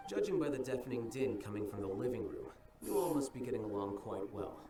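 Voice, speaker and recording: Man playing an aloof, deadpan young scholar. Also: mid-range voice, music in the background, natural voice. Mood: dry. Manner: measured, deadpan, formal. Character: aloof, coolly logical young male scholar, dryly blunt. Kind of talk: anime game voice acting.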